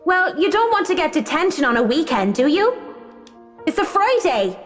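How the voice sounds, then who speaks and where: A woman's voice: high-pitched, sing-song, moderate pace. An actress playing a timid, pleading young schoolgirl in a visual novel game. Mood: coaxing. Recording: long reverb on the voice. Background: game music.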